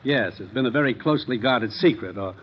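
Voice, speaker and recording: Casting: an authoritative American commissioner, serious and businesslike, 1950s radio drama, muffled old broadcast sound. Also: male voice, medium pitch, lively intonation, a little fast, husky. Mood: grave, explanatory. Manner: earnest, authoritative, urgent briefing. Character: grave, businesslike male American intelligence commissioner. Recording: muffled vintage radio recording.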